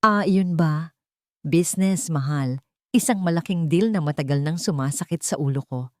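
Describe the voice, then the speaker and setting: A deceitful millionaire husband as read by a woman in an audio melodrama. The delivery is smooth and curt with a cold edge, in a fairly low voice. The sound is very dry. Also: normal speed, darkish tone, no room sound, close to an everyday voice. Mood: smooth, evasive.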